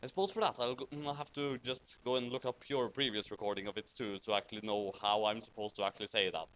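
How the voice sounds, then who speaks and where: A man's voice: lowish voice, fast talker, a bit bright, low-quality recording. A man hosting a comedic fanfiction reading, mixing read-aloud lines with casual commentary.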